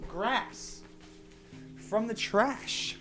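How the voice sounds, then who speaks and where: A man chatting on a livestream, loud-voiced and animated, reacting to chat and rambling through opinionated stories. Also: high-pitched, very slow. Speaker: streamer. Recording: music playing clearly behind voice. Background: music.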